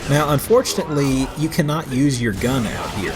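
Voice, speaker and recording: A man's voice: mid-range voice, animated, normal speed, over loud music. A man giving casual let's play commentary as he plays a video game. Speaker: gameplay commentator playing the game.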